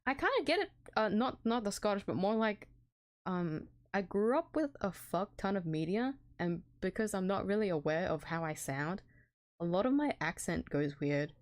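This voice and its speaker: A woman's voice: medium pitch, expressive, moderate pace. An Australian woman chatting casually while streaming a game from home, giggly and self-deprecating, often tripping over her words. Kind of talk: casual let's-play commentary. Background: faint background music.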